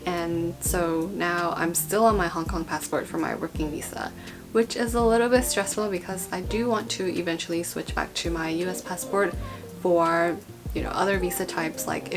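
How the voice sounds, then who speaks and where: Casual young woman vlogger over guitar music. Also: fairly low pitch. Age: young adult. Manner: chatty, rambling, informal. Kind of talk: casual informational vlog talk. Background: background guitar music.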